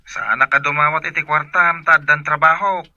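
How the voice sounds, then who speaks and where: A man's voice: a little high, steady tone, normal speed, highs cut off, heavy background noise, close to an everyday voice. A man acting a husband talking to his wife in a radio drama, with a Filipino accent. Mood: insistent. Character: husband announcing his new job to his wife.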